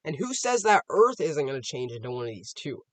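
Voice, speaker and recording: A man's voice: on the high side, sing-song, a little fast, dry recording. A man giving casual, off-the-cuff reaction commentary, musing aloud and posing rhetorical questions. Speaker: reaction video host.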